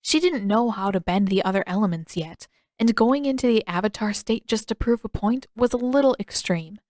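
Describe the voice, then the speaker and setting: A woman's voice: medium pitch, animated, normal speed, a little mellow, no room sound. A woman narrating a scripted story as an audiobook-style reader, close to the microphone. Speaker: fan fiction author and reader.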